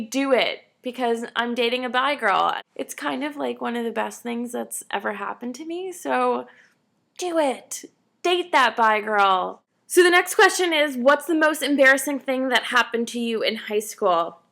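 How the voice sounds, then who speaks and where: Tired woman in her late 20s vlogging, chatty, kinda high bright voice. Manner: chatty, self-deprecating, animated. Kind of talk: casual chat to camera.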